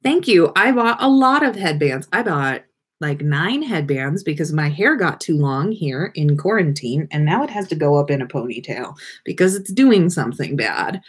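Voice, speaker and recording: A woman's voice: low voice, big pitch swings, moderate pace, husky. A woman hosting a casual livestream, chatting with viewers in a playful, rambling way as she thinks aloud. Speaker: livestream podcast host. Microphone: webcam livestream setup.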